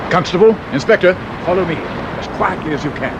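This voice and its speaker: An actor in a radio drama playing a grave, commanding senior intelligence chief with a clipped, authoritative way of talking. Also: male voice, sits high, lively intonation, brisk. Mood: brisk, commanding. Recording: dull radio recording with loud noise.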